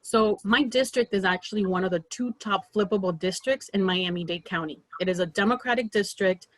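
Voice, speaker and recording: A woman in her thirties, from Peru, making an earnest campaign pitch on a video call with many fillers and restarts. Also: fairly quick, no room sound, rough recording. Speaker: political candidate.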